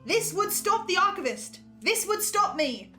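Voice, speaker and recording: A woman's voice: very high-pitched, very animated, measured pace, sharp tone, faint music behind, heavily acted. A woman playing an anxious, self-doubting male archivist with a stammering, nervous delivery, in an audio drama. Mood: urgent. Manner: stammering, nervous, breaking into hesitations.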